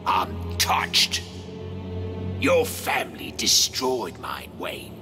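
Gloating British crime boss, wildly swinging pitch. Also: male voice, big pitch swings, very slow, heavily acted. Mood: mocking. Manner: mocking, taunting, theatrical. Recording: loud game music behind the voice.

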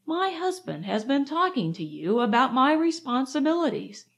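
A woman's voice: sits high, sing-song, normal speed, creaky, exaggerated voice. A woman reading aloud, voicing a proud, fussy mother who insists she is strong and in charge of her household. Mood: self-assured. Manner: insistent, self-assured.

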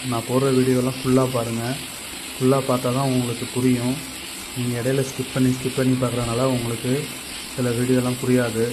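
A man's voice: mid-range voice, monotone, fairly quick, background noise. A man from Tamil Nadu, a carpenter explaining step by step how to make a tool, casual and repetitive. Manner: plain step-by-step explaining, repeats himself. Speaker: carpenter teaching on his channel.

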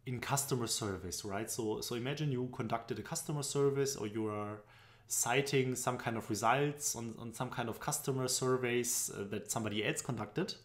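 A man's voice: mid-range voice, moderate pace. A young man from Germany with a German accent, explaining business presentation charts in a loose, tutorial style with frequent fillers, recorded on a webcam at his desk. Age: young adult. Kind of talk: screen-recorded tutorial narration. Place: indoor room at home. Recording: echoey room.

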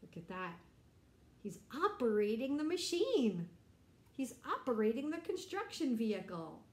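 A middle-aged woman reading a picture book aloud to children, explaining and asking simple questions in an animated way. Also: a little high, measured pace, echoey room. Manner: animated, explanatory, addressing young listeners. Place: bright indoor room.

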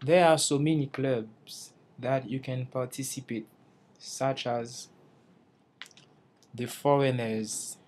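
A young man from Haiti walks his classmates through a slide presentation in English, which he has been learning. He speaks slowly and carefully in a mid-range voice, sometimes restarting a phrase as he searches for the right words. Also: mid-range voice, steady tone, darkish tone. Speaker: English language student presenting.

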